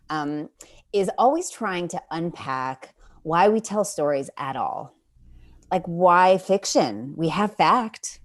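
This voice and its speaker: An American woman speaking as an interview guest, reflecting earnestly and thoughtfully on her work and building emphatic lists as she goes. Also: low-pitched.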